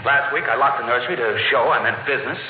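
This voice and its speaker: A man's voice: medium pitch, fast talker, faint music behind, natural voice. An actor playing a worried, stern middle-aged father in a 1950s radio drama. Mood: anxious. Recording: narrow, roomy vintage radio recording.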